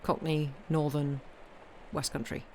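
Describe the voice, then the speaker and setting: A woman's voice: low-pitched, flat delivery, takes it slowly, darkish tone. A woman chats casually into a close desk mic during a live stream, rambling a little with fillers and restarts. She sounds apologetic and self-deprecating. A faint hiss sits under her voice. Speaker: streamer reading ghost stories. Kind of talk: casual chat between stories.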